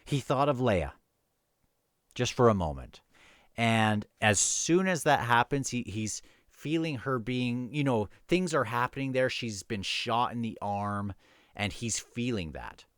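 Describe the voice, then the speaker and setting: A man casually talking about and reading from a novel, earnest and somewhat halting as he thinks aloud. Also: medium pitch, lively intonation, a bit bright, no echo, studio quality. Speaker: book reviewer and reader. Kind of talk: casual book discussion mixed with read-aloud novel passages.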